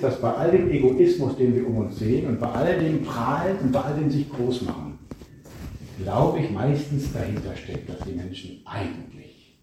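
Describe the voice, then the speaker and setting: A man's voice: medium pitch, mellow. A male preacher giving a reflective sermon, putting many questions to his listeners. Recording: echoey room, speaker far from mic, faint noise.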